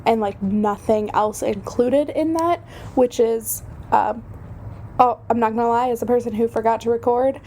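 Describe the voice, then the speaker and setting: Chatty young woman, a little hoarse. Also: fairly high pitch, normal speed, dark tone, slight background noise. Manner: chatty, self-deprecating, many fillers. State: slightly hoarse from a cold. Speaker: podcast host. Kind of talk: casual solo podcast chat.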